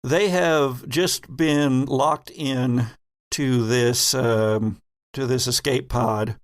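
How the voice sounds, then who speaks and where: Slow, deliberate male game master. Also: mid-range voice, fairly even intonation, no echo, clean studio sound. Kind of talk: narrating a tabletop horror game.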